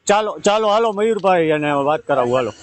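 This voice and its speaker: A man's voice: a little high, animated, a little fast, a little hiss or hum. A man with a Saurashtra Gujarati accent pleading insistently and repeating his request on a recorded phone call. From Gujarat, India. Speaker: caller seeking help.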